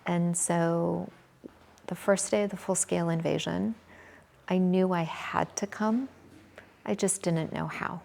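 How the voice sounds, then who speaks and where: An American woman in her forties from Michigan, answering interview questions in a measured, thoughtful way with frequent pauses. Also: lowish voice, fairly even intonation, vocal fry, darkish tone. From Michigan, USA. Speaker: interview guest, volunteer. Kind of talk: conversational interview.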